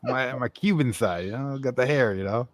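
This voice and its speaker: A man chatting on a live stream, low-key and given to dry jokes. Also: moderate pace, no room sound. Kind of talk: casual chat on a live stream.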